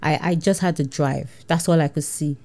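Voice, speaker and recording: A woman's voice: sits low, steady tone, moderate pace, mellow. A woman with a Nigerian accent, an entrepreneur telling her story in a casual interview, acting out dialogue and laughing often. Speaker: entrepreneur guest.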